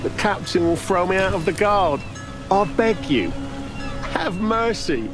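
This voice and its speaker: An actor playing a lowly, cowardly town guardsman in a video game, whining, desperate and servile. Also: expressive, a little slow, music in the background. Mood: desperate pleading.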